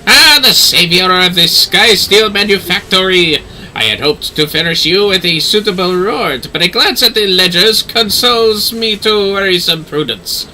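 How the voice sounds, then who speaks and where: A man's voice: high-pitched, expressive, moderate pace, bright, forceful tone, exaggerated voice, low-quality recording. A man voicing an eloquent, effusive nobleman from a fantasy game, with florid, theatrical phrasing. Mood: gracious, effusive. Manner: florid, theatrical, formal speech. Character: flamboyant, eloquent nobleman, effusive patron of a workshop. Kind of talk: fantasy game dialogue read aloud.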